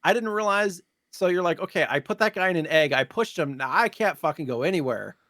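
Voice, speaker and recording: A man's voice: on the high side, expressive, a little fast, a bit bright, no echo, studio quality. A man co-hosting a gaming podcast, chatting casually and explaining things in an informal way. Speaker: podcast co-host.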